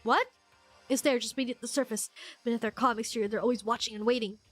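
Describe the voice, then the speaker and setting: A congested woman streaming a video game, reacting excitably with frequent gasps and laughs. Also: fairly high pitch, fast talker, soft background music. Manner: excitable, gasping and laughing often. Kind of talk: casual gameplay commentary.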